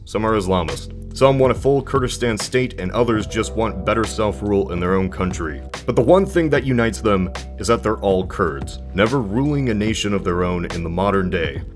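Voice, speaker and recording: Low-pitched man narrating an explainer. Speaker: video essay narrator.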